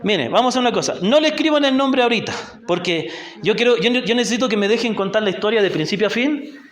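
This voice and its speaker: High-voiced Chilean man teaching in an echoey church. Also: lively intonation, fast. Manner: patient, asks the group questions, often checks with "¿ya?". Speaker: missionary teacher. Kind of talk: Bible class teaching. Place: church hall in a rural community.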